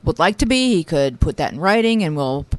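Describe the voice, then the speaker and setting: A middle-aged woman co-hosting a podcast in a studio, talking in a quick, chatty and playful way. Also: low-pitched, big pitch swings, dry recording. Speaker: podcast co-host. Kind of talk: casual chat. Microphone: studio microphone.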